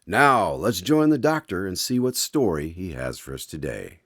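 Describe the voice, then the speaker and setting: A man's voice: low voice, big pitch swings, normal speed, a bit bright, full character voice. A man playing an eager, inquisitive host in a radio drama, speaking formally as announcer and listener. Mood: announcing. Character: eager male host visiting a doctor to hear his stories.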